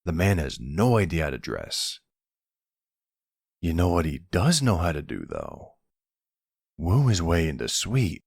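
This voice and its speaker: Man acting as a deep-voiced, exasperated mafia boss. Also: no echo, clean studio sound, full character voice. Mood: reassuring, wry, then wry, bitter. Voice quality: deep, smooth, gravelly creak. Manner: wry, colloquial, weary. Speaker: voice actor in an audio roleplay. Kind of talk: ASMR roleplay acting. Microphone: close, dry microphone.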